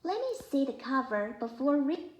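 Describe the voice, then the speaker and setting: A woman's voice: high voice, big pitch swings, moderate pace, lo-fi sound, heavy background noise. A woman voicing a small, polite, child-like reading robot that gives scripted prompts. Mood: polite, helpful. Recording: echoey playback from device speaker.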